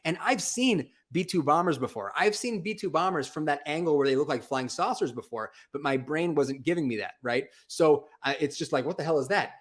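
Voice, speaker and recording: A man's voice: fairly high pitch, animated, fairly quick, hardly any pauses, husky. A man hosting a call-in show, chatting and telling stories casually with emphatic, slangy, informal phrasing. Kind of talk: casual chat, storytelling.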